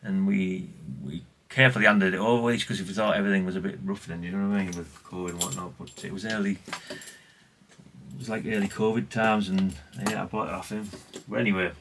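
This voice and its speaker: Low-voiced man, noisy recording. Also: little pitch movement, fairly quick, a bit bright. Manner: rambling, chatty, with asides. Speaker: vlogger showing vintage CB radios. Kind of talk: casual, unscripted chat.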